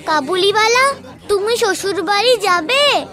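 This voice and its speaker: Very high-voiced little girl. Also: expressive, unhurried, bright, forceful tone, heavily acted. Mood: eager, innocent. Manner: childlike, eager, sing-song calling. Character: lively, innocent little girl. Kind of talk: audio story acting.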